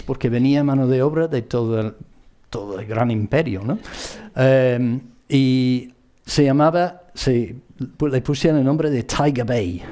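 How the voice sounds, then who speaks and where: A man from the Bristol area of England with a British accent, a native English speaker, gives a public presentation in a halting way, often repeating himself as he searches for words. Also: mid-range voice, dark tone. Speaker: conference speaker.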